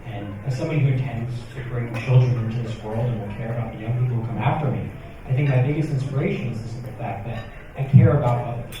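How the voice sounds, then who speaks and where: An impassioned young man speaks on a conference panel. He talks quickly. His voice rings far off in an echoing hall, with room noise behind. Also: mid-range voice, muffled recording. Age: young adult. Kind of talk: panel discussion. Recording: echoey hall, distant mic, room noise.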